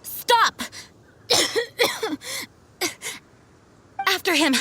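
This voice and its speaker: A woman playing a noble, dutiful young knight commander in a video game, speaking formally and earnestly in measured sentences. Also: extremely high pitch, animated, pushed and bright, full character voice. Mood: urgent, determined. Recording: music behind the voice.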